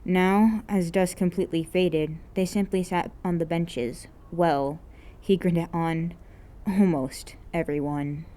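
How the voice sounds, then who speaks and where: A woman's voice: fairly low pitch, steady tone, slow, dark tone. A woman reading scripted fiction aloud as an amateur narrator. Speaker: amateur podfic reader.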